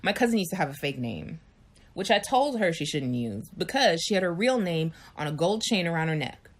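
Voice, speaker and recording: Animated, frank woman in her thirties. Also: lowish voice, brisk. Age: 30s. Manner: frank, animated storytelling with acted-out voices. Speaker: chat show co-host. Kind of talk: candid casual chat.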